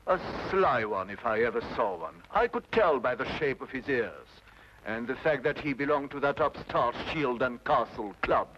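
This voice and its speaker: A man's voice: medium pitch, animated, normal speed, a bit bright, natural voice. A man playing a stern, suspicious middle-aged father with a blustery, grudging manner in an old radio drama. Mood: gruff, indignant. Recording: dull old radio recording, faint noise.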